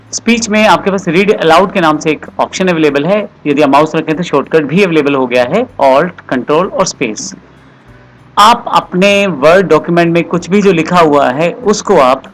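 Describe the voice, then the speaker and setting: A man narrating a step-by-step software tutorial in an explanatory, instructional way, repeating his instructions. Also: a little high, rough recording.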